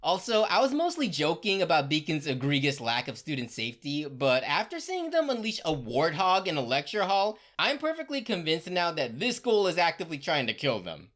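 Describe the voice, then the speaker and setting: Casting: female comedy recap narrator, deep for a woman, with big expressive pitch movement and irreverent, slangy sarcasm.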